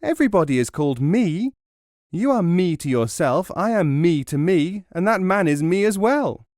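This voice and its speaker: A man's voice: high-pitched, big pitch swings, moderate pace, darkish tone, dry recording, studio quality, voice pushed up. A man voicing an exasperated mother in a folk tale, emphatically scolding her foolish son. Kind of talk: storytelling in a narrated folk tale.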